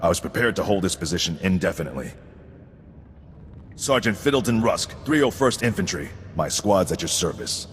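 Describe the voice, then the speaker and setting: Low voiced hard-nosed game sergeant, clipped orders, big echo and some noise. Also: male voice, sing-song, brisk. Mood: crisp, dutiful. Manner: clipped military delivery, reciting figures coldly. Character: stern, unflappable male army sergeant who demands discipline. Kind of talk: video game cutscene acting. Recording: echoey hall, noisy ambience behind.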